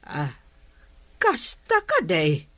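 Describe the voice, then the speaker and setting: Slow, low-voiced Ilocano mother played by an actress. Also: huge pitch swings, pushed and bright, no room sound, full character voice. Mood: concerned. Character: caring middle-aged Ilocano mother. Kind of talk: Ilocano radio drama acting.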